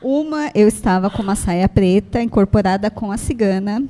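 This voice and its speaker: A woman's voice: mid-range voice, animated, normal speed, warm tone. A Brazilian woman guest on a podcast, telling funny stories about herself and laughing at her own mishaps. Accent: Brazilian Portuguese. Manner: self-mocking storyteller, laughs at herself. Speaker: guest, shop owner. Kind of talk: casual chat, telling anecdotes.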